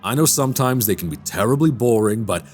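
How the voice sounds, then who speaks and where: An affable bachelor writer in a radio drama, played by a man, with a fairly low voice that moves around a lot. He is wry, theatrical and happy to poke fun at himself. Faint music plays underneath. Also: expressive, brisk, lightly acted. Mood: wry, easygoing. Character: affable, self-deprecating bachelor writer, amused by rumors.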